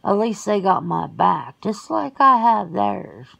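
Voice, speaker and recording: Woman acting a folksy, gravelly werewolf sister. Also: mid-range voice, sing-song, slow, fairly bright tone, muffled recording, exaggerated voice. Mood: defensive. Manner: folksy, casual, colloquial. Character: plain-spoken, folksy, loyal werewolf sister, wary homebody. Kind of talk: ASMR roleplay acting.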